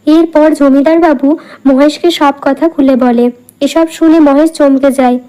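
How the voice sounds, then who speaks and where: A woman's voice: high voice, fairly even intonation, normal speed, dark tone, rough recording. A woman reading scripted narration for a cartoon folk tale.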